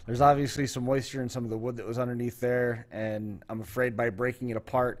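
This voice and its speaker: A man's voice: medium pitch, a little mellow. Male talk show host, mid-range voice, casual and rambling, takes his time through a story. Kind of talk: casual chat.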